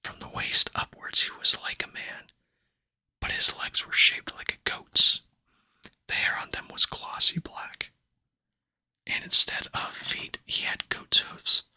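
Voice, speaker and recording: A man whispering an ASMR reading of a children's fantasy novel, gentle and unhurried, with pauses between phrases, close to the microphone. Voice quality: breathy unvoiced whisper. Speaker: ASMR book reader.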